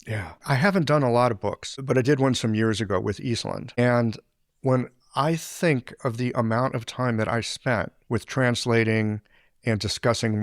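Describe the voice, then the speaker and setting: A man hosting a podcast interview, reflective and relaxed in his questions. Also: medium pitch, no room sound, studio quality. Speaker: podcast host. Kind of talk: interview conversation.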